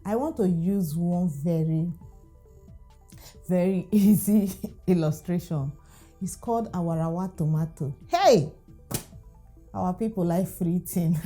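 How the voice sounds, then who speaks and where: A woman's voice: lowish voice, warm tone. A Nigerian woman whose first language is Igbo, chatting animatedly to camera about food safety and laughing often. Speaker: food vlogger. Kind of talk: casual talk to camera.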